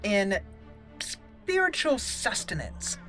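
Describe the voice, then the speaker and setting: A woman's voice: mid-range voice, big pitch swings, slow pace, sharp tone. A woman hosting a gaming channel, giving roleplay commentary and musing aloud about the story. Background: game music.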